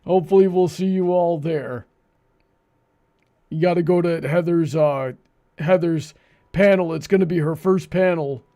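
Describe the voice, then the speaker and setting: A male livestream host with a fairly high voice and plenty of gravelly creak. He chats casually with his audience and co-host. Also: unhurried, pauses often, warm tone.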